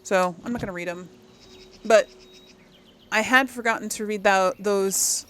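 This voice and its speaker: A woman's voice: medium pitch, measured pace, a bit bright. A woman hosting a game series, chatting casually as she thinks aloud and reads aloud to her viewers. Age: 30s or older. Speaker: game streamer, role-play series host.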